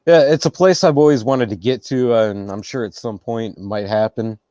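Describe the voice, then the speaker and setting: A man hosting a casual interview, relaxed and reflective, laughing easily. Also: mid-range voice, normal speed, dry recording. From United States. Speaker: podcast host.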